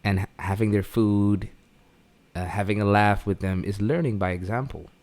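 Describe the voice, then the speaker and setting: A man from Suriname who grew up in the Netherlands, talking casually as a podcast guest, haltingly and repeating words as he thinks. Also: on the low side, fairly even intonation, a little mellow. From born in Suriname, grew up in the Netherlands. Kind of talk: casual conversational interview.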